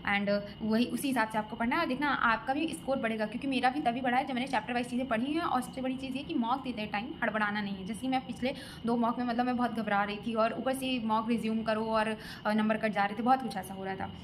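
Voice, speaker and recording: A woman's voice: a little high, fast. A young woman from Lucknow, vlogging casually as she answers viewer comments about her exam preparation, talking in a chatty, hesitant way with many fillers and restarts. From Lucknow, India. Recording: audible background noise.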